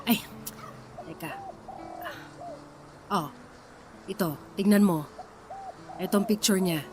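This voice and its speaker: A woman's voice: medium pitch, slow pace, a little hiss or hum, close to an everyday voice. A woman playing a suspicious, worried wife confiding in a younger sister in a radio drama, hesitant and defensive.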